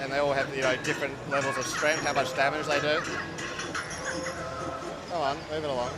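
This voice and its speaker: A man giving a casual, informal live commentary as he demonstrates a game. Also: medium pitch. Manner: informal, easygoing. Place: busy game expo show floor. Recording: loud noisy expo floor background.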